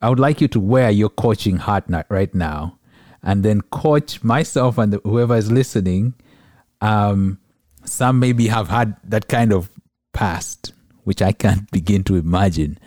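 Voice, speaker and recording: Deep, mellow male podcast host. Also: unhurried, dry recording, clean studio sound. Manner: thoughtful, recaps what the guest says. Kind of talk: interview questions.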